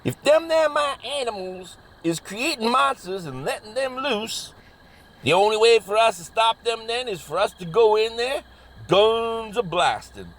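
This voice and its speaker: A very high, wildly animated hillbilly monster hunter. He has a Southern drawl and cocky, blustering, hot-headed folksy talk, pitched for comedy horror audio drama. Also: unhurried. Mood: defiant.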